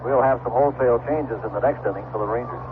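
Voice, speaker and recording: A man's voice: medium pitch, quick pace. Tinny vintage radio baseball announcer, male. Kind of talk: live radio sports commentary. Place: ballpark broadcast booth. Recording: tinny, noisy 1970s radio broadcast.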